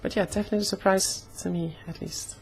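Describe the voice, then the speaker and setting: Young woman vlogger, hoarse and creaky. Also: medium pitch, steady tone, quick pace, slight background noise. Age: young adult. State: slightly hoarse. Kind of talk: casual personal vlog.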